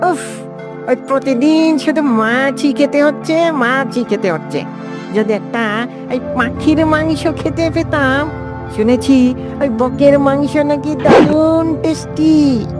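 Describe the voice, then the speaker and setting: A woman voicing a sly, greedy fox in a children's cartoon, wheedling and scheming in a flattering way. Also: high voice, slow, loud music behind the voice.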